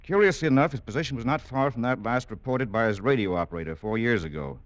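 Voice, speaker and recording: An actor playing a calm, authoritative American military doctor, speaking formally and measuredly in a vintage radio drama. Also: male voice, medium pitch, quick pace, no echo, natural voice. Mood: grave narrating. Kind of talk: 1940s-50s radio drama acting, measured narration.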